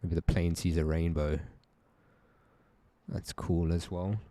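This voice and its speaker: Laid-back South African man, mellow voice. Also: deep voice, steady tone, takes it slowly, no room sound. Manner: laid-back, joking, laughs often, slangy. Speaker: livestream trading host. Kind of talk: casual live-stream chat.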